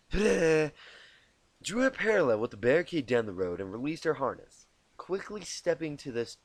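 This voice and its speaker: Male amateur narrator, self-deprecating and stumbling, lively pitch, dry close sound. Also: dry recording, rough recording. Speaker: amateur fan fiction reader. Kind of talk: informal storybook narration with asides. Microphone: cheap home microphone.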